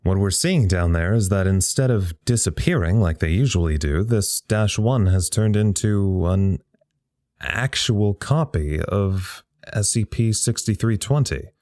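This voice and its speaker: A man playing a wry, tired research scientist reporting live in an audio drama, halting and understated. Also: fairly low pitch, expressive, darkish tone, no echo, clean studio sound. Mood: puzzled, intent. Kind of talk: audio drama acting as a live radio log.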